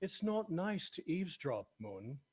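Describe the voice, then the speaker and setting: A man's voice: on the high side, very animated, measured pace, darkish tone, slightly rough, no echo, exaggerated voice. A man playing a smug, mercenary pirate captain with a sly, offhand manner at a table read. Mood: commanding.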